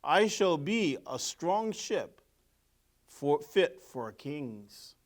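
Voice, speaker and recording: A man plays a bold young storybook tree longing to be a mighty ship. The delivery is slow, earnest and boastful, with animated swings in pitch. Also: exaggerated voice. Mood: eager pride.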